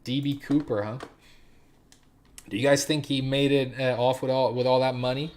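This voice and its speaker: A man's voice: medium pitch, flat delivery, normal speed, darkish tone. A young man from Sweden telling a rambling story on his stream, full of fillers and restarts.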